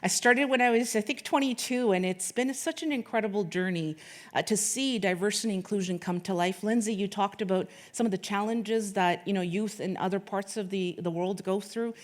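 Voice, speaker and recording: A woman's voice: medium pitch, a little fast. A woman in her late thirties, from Afghanistan, giving an earnest speech at an event with frequent restarts and fillers. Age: late 30s. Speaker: corporate sponsor speaker.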